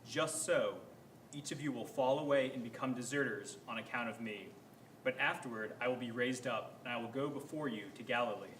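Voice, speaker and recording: A man's voice: mid-range voice, brisk, a bit bright, lightly acted. A man reading the part of Jesus, a grave and sorrowful teacher, in a reader's theater performance of scripture. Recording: echoey room, faint background noise.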